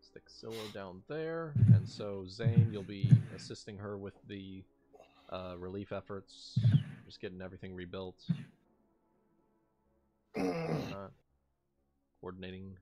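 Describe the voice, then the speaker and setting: A man's voice: low voice, lively intonation, mellow, faint music behind. A man running a tabletop game as game master, speaking casually and hesitantly as he narrates. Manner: hesitant, halting delivery.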